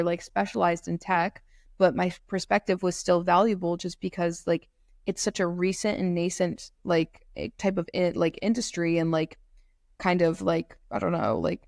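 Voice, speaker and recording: A woman's voice: lowish voice, darkish tone, no echo, studio quality. A twenty-year-old woman from North Carolina, a law student guest, talking casually on a podcast, rambling with many "like" fillers over her computer mic. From North Carolina, USA. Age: 20s. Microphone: computer mic, remote call.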